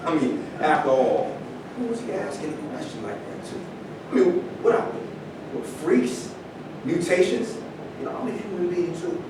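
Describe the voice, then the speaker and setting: A man playing a proud, indignant former football star telling his story in a staged reading. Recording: echoey hall, distant mic, rumbling noise.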